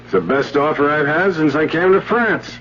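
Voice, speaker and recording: A man's voice: a little high, normal speed, fairly bright tone, close to an everyday voice. An actor playing an easygoing, talkative man with a casual, bantering manner in a 1970s radio drama. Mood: amused, bantering. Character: easygoing, talkative man, amused and offhand dinner guest. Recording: muffled 1970s radio with faint noise.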